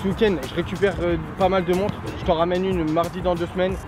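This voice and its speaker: A man's voice: sits high, level tone, fast talker. A young man teasing a friend in playful banter. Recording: loud music outdoors.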